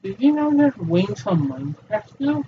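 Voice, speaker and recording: A man's voice: high voice, moderate pace. A young man co-hosting a casual podcast, asking naive questions in an easygoing way. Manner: lively, naive questions, talks in a mid-range voice. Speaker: podcast co-host. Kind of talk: casual chat. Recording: distant lo-fi mic with faint hiss.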